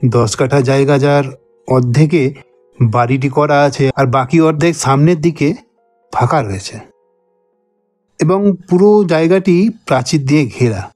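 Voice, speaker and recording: A man reading a scripted cartoon ghost story, with deliberate, drawn-out phrasing. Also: fairly high pitch, a little mellow, no room sound. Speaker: story narrator voicing old friends. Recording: dry voice over background music. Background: music.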